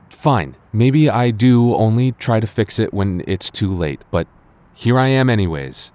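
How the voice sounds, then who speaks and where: A man acting a stubborn but regretful young man in an intimate boyfriend roleplay, speaking in a halting, earnest way with stammers and sighs. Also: low-pitched, a little mellow. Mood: defensive, pleading. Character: stubborn, regretful young man confessing love to childhood friend.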